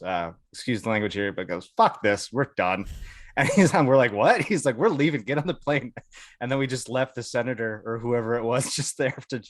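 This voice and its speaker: Low-pitched American man telling casual stories. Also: dry recording, studio quality. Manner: colloquial, amused, chuckles through stories. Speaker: podcast guest, Air Force veteran. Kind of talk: casual anecdotal storytelling.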